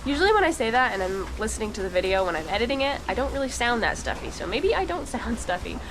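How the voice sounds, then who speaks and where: A woman's voice: on the high side, big pitch swings, quick pace. A woman who works on a dairy farm, talking casually to viewers with a stuffy, congested voice from a cold. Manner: chatty, talks straight to viewers. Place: dairy barn, with calves and cows. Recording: noisy barn recording.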